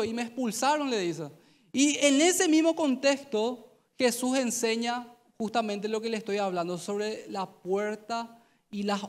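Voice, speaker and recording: A man in his thirties with a Paraguayan Spanish accent, preaching a youth sermon on stage into a handheld mic, telling the story in a conversational way and acting out the dialogue. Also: extremely high pitch. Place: large stage in an auditorium. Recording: long hall reverb.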